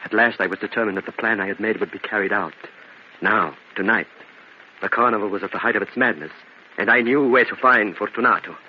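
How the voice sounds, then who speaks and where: A man's voice: on the low side, brisk, natural voice. An actor playing a vengeful, grieving and slyly courteous nobleman in an old-time radio gothic drama. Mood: brooding, resolute. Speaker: narrator and lead actor. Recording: muffled, noisy old radio recording.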